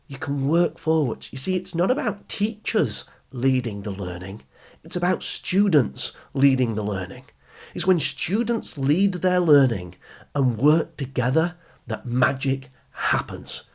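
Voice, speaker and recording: A man's voice: fairly high pitch, animated, measured pace, a little mellow, breathy edge. A man hosting an educational podcast for teenagers, speaking straight to the listener in an encouraging, instructive, emphatic way. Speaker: podcast host and coach for teenagers. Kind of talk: motivational educational podcast monologue.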